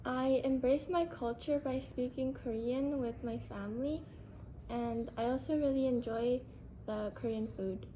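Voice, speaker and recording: A woman's voice: high voice, measured pace, warm tone, slight background noise, low-quality recording. A woman giving casual interview answers, using frequent "like" fillers.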